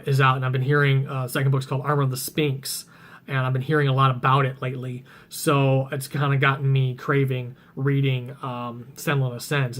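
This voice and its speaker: Casting: male book vlogger, scratchy tired voice, rambling, mid pitch. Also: fairly bright tone. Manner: rambling, many ums and restarts. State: voice getting rough and scratchy. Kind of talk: casual chat about reading plans.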